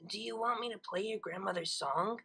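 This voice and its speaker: A woman voicing an earnest, gentle and slightly awkward female cartoon pony in a story reading, with a hesitant manner. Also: mid-range voice, fairly quick, vocal fry, slightly rough. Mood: soft, hesitant.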